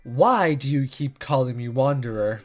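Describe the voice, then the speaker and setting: A man's voice: mid-range voice, animated, moderate pace. A man doing let's play commentary, reading visual novel text aloud and stumbling over unfamiliar words. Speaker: gaming video host.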